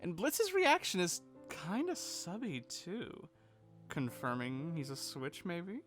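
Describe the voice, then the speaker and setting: A man co-hosting a fan breakdown, giving recap commentary in a playful, excitable and cheeky way. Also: fairly high pitch, slow pace, faint music behind. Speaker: co-host of a fan breakdown show.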